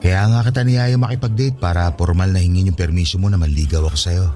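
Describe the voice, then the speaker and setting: An actor playing a devoted, patient young suitor in a radio drama, speaking in a tender, earnest and reassuring way. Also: male voice, low voice, fast talker, warm tone, lightly acted. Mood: tender, confessing. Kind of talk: Tagalog radio drama dialogue. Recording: studio drama with music underneath. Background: background music.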